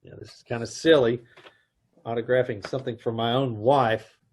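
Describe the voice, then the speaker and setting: A man's voice: medium pitch, fairly even intonation, moderate pace, a little hiss or hum, close to an everyday voice. A man playing an exasperated, wry husband who also narrates, in an amateur old-time radio play. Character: put-upon husband, adman Mister Ace. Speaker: narrator of the story.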